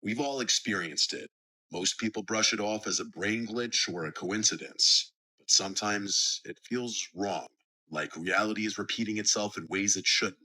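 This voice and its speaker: An American man narrating scripted horror stories in a measured, serious storytelling manner. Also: lowish voice, bright, forceful tone, rough recording. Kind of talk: scripted narration of scary first-person stories.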